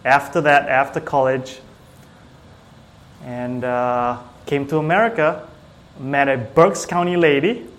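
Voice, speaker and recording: A man from Singapore chatting casually as an interview guest, with hesitant, halting phrasing. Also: medium pitch, fairly bright tone. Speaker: small business owner, IT technician, interview guest.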